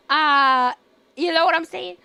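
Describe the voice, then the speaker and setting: A young woman streaming live, chatting casually with a hoarse voice and telling an animated story full of laughs. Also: very high-pitched, no echo. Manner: animated storytelling, laughs often, acts out quotes.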